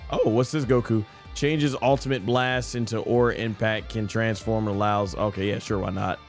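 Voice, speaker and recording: A man's voice: on the low side, steady tone, moderate pace. A man giving casual live commentary as a gaming streamer. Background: game music.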